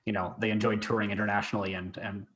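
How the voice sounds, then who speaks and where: A man hosts a podcast interview, his voice somewhat low for a man. He phrases his questions and stories tentatively, pausing on fillers and repeating words as he goes. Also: level tone, a bit bright.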